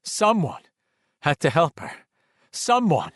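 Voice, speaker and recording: An anxious housewife, voiced by a male audiobook narrator, fretful and insistent in a raised pitch. The horror-story delivery is panicky, and the recording is close and dry. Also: big pitch swings, takes it slowly, a bit bright, no room sound, exaggerated voice. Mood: desperate. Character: panicked housewife's anxious, self-reassuring inner voice.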